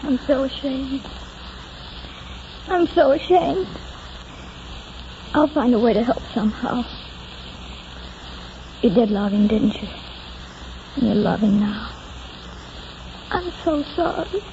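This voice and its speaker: A woman's voice: on the high side, expressive, takes it slowly, pauses often, dark tone, slight background noise. An actress playing a tender, earnest young small-town woman in 1940s radio drama style. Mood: ashamed, sorrowful. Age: young adult. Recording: muffled 1940s radio recording with music.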